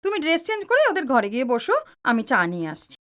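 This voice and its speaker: Woman acting a high-voiced, expressive mother. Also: racing. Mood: chiding. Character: caring, chiding middle-aged mother and wife. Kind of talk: audio drama acting.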